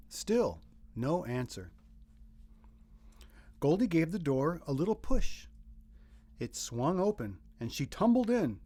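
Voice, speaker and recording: A man reading a children's picture book aloud as narrator, in expressive scripted storytelling. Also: on the high side, a little hiss or hum.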